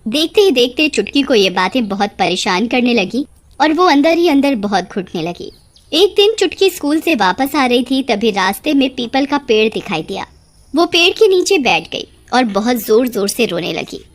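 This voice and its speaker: Female storyteller, high-pitched, for scripted narration of an animated children's tale. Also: normal speed.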